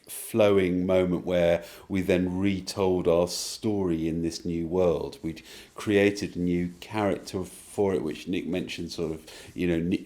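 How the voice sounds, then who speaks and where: Deep-voiced, mellow man thinking aloud. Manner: hesitant, thoughtful, circling through rephrasings. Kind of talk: reflective interview answer.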